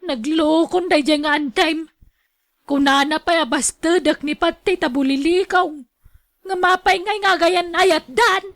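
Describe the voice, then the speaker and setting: A disbelieving, indignant mother in a radio drama. Her voice is high and sits in a very dry, close sound. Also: measured pace, fairly bright tone, dry recording, exaggerated voice. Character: disbelieving mother reacting indignantly to village gossip.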